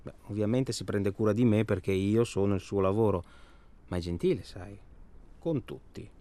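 A man's voice: fairly low pitch, moderate pace, dark tone, natural voice. An actor playing a weary, restless man tormented by his job, who rambles in an agitated, sardonic, naturalistic way. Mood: sardonic, insistent. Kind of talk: naturalistic radio drama dialogue.

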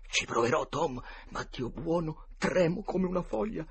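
A man's voice: low voice, very animated, a little slow, fairly bright tone, slight background noise, exaggerated voice. A man voicing a fearful, superstitious country boy who mutters and quavers, in an audiobook story. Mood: panicked.

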